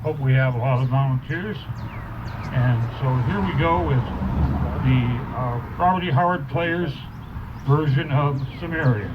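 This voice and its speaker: A man hosting a live poetry reading, giving an informal, halting, folksy welcome speech. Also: mid-range voice. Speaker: host of a poetry reading event. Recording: dull live event recording, noisy outdoors.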